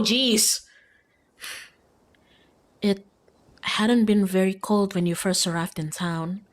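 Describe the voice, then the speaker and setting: A woman reading a visual novel aloud expressively as a streamer, with casual asides to viewers. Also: medium pitch.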